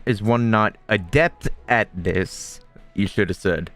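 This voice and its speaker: A man's voice: on the low side, slow, music in the background. A man giving casual, laid-back gameplay commentary, musing aloud as he reacts. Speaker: game streamer.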